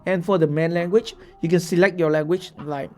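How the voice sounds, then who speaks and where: A young man whose first language is Vietnamese, giving a step-by-step screen-share software tutorial and checking in with frequent "okay?" asides. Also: fairly high pitch, steady tone, a little fast, soft background music. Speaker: tutorial host.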